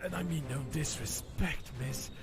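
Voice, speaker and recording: A man playing a gentle, wounded young bandit in a video game, weak and halting, speaking politely and with resignation. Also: on the high side, creaky. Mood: weak, apologetic. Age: young adult. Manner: halting, deferential. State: weak, badly injured, coughing. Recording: loud music and birdsong behind.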